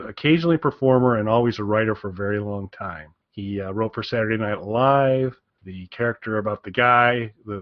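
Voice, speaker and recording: A man co-hosting a podcast, giving a casual recommendation in a rambling, halting way and often repeating his phrases. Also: on the low side, dry recording, highs cut off. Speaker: podcast co-host.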